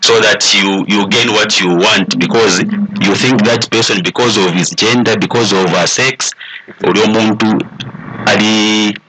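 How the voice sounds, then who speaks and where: Male expert panelist, Zambian accent, lower register, deliberate explanatory delivery, clear crowd sound in the background. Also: fairly even intonation, poor audio. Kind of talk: explanatory talk mixing English and Bemba.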